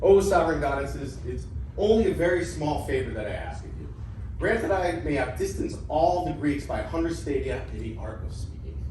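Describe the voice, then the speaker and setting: A man's voice: a little high, big pitch swings, moderate pace, darkish tone. A man playing an earthy, bumbling, debt-ridden would-be student in a theatrical reading of an ancient Greek comedy, with exclamatory delivery. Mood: eager, pleading. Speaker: amateur actor in a staged play reading. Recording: echoey room, distant mic, background noise.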